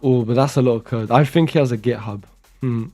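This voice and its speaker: A man's voice: medium pitch, brisk, dark tone, soft background music. A young man from Romania, casually commenting while live coding and muttering code aloud as he types, with chatty asides. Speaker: programming streamer.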